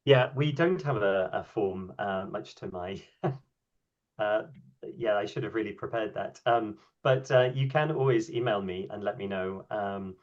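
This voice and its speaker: A man with a lowish voice talks over a video call, the audio muffled and lo-fi. He answers questions casually, pausing with ums and restarting phrases as he thinks. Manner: hesitant, many ums and restarts. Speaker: invited speaker at an online workshop. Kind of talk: conversational talk and answers.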